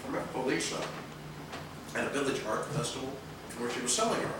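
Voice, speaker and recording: An actor playing a brash, joking young husband and buddy in a stage play, slangy and loose. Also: male voice, mid-range voice, very animated, fast talker, close to an everyday voice. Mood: boastful, admiring. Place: theatre stage, videotaped live from a distance. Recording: echoey noisy theatre hall, distant camera mic.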